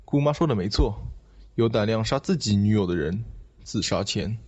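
Man playing low, husky assured son. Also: lively intonation, normal speed, a little mellow, dry recording. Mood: corrective. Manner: blunt, explanatory. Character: cool, assured adult son correcting his father. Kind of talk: Chinese audio detective drama dialogue. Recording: slightly muffled, dry.

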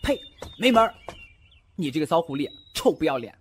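A man playing a feisty, vain, jealous young male fox spirit in a Chinese audio drama, bratty and excitable. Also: on the high side, brisk, a little hiss or hum. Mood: indignant.

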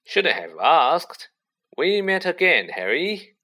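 A man's voice: a little high, very animated, slow pace, fairly bright tone, pitched above the performer's own voice, heavily acted. A man voicing a vain, jovial celebrity teacher, pompous, hearty and patronising, in a storybook reading. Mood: booming, jovial.

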